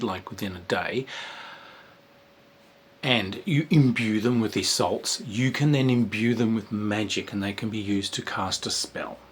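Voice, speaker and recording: Husky, chatty male game reviewer. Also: fairly low pitch. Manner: chatty and digressive, with sudden excited outbursts. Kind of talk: casual review monologue.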